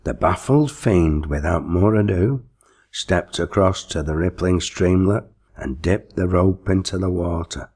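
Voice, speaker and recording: A man reading a story aloud as expressive, theatrical, deliberate scripted narration. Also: deep voice. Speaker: audiobook reader.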